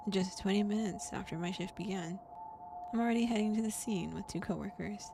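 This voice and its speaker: Female streamer, gravelly timbre, reads game text aloud with lively reactions; faint music bed. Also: medium pitch. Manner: animated reactions, gasps and laughs between read passages. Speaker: streamer reading a visual novel aloud. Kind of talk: game playthrough, reading text plus reactions.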